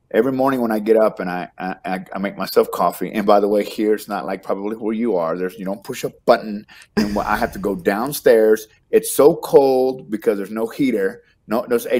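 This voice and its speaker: Middle-aged man with a Texas drawl, speaking in a lowish voice over a home video call. He talks in a loose, rambling way, often doubling words as he gets going. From Texas, United States. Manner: warm, rambling, repeats words when starting sentences. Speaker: guest. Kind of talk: casual interview chat.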